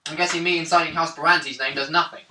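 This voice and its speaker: Male tabletop gamer, casual role-play talk, piercingly bright tone, distant roomy recording. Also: on the high side, steady tone, rapid-fire. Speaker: player in a tabletop role-playing game. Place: indoor room around a gaming table. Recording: echoey room, distant mic, faint noise.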